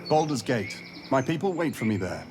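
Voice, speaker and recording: A wry, courteous wandering monster hunter acted by a man in a fantasy game. His mid-pitched voice rises and falls freely, like a seasoned storyteller. Music plays underneath. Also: big pitch swings, normal speed, close to an everyday voice. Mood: earnest. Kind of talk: video game voice acting.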